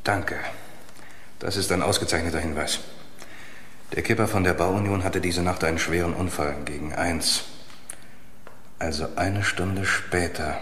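Male radio-play police lieutenant: low voice, methodical, authoritative, clearly noisy recording. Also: fairly even intonation, unhurried, long pauses, fairly bright tone. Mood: businesslike. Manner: businesslike, authoritative questioning. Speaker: actor in a radio crime play. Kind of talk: radio crime drama acting.

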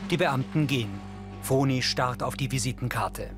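A man reads scripted scene descriptions in a measured, matter-of-fact and neutral way. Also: mid-range voice. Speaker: audio description narrator. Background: background music.

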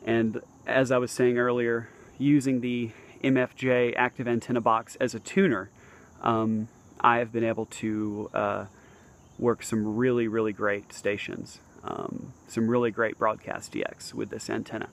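Halting, modest man chatting casually outdoors. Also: medium pitch, little pitch movement, gravelly, slight background noise. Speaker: amateur radio hobbyist showing his antenna. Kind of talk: casual unscripted explanation to viewers. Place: outdoors on a house roof among trees.

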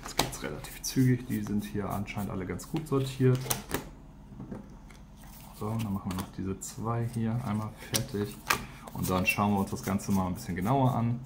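A man's voice: lowish voice, normal speed, vocal fry, noisy background. A man giving a casual, unscripted product walkthrough, informally thinking aloud as he goes. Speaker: product reviewer and teacher.

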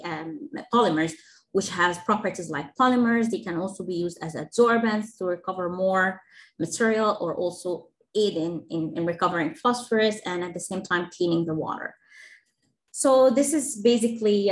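Egyptian-born woman explaining her research. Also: lowish voice. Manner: earnest, explanatory, with fillers and restarts. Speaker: engineering professor, guest speaker. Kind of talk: webinar talk and interview answers.

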